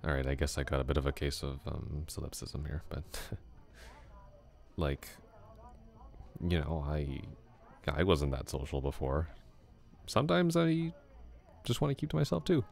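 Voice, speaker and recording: A man playing a laid-back flirty classmate, very deep. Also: expressive, a little slow, gravelly, pauses often, a little mellow, full character voice. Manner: casual, easygoing, chuckles often. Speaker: ASMR roleplay voice actor. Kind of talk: ASMR roleplay, soft close talk.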